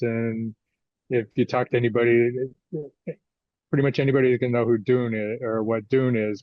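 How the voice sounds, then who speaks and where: A man's voice: fairly low pitch, a little mellow, dry recording. American man in his sixties, slightly muffled recording. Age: 60s. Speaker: guest author. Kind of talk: conversational interview. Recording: slightly dull, lo-fi audio.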